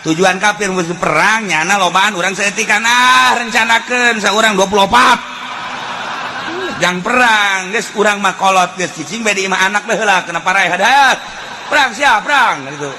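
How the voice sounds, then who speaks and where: A man with a Sundanese accent preaching in an animated, earthy, joking storytelling style. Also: high voice, a little hiss or hum. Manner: animated, breaks into shouts and laughter. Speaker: Islamic preacher. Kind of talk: humorous storytelling sermon.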